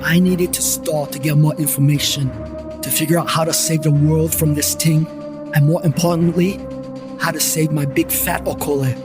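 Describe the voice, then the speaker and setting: A man narrating a comedic first-person paranormal story in a wisecracking, deadpan manner, over background music. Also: on the high side, normal speed. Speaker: narrator and lead character, a paranormal investigator.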